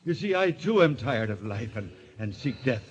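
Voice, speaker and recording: An actor playing a genteel, world-weary gentleman with an urbane, fastidious manner in a 1940s radio drama. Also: mid-range voice, big pitch swings, slight background noise, close to an everyday voice. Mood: urbane, persuasive. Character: genteel, world-weary gentleman who relishes a stylish death. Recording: dull vintage radio recording.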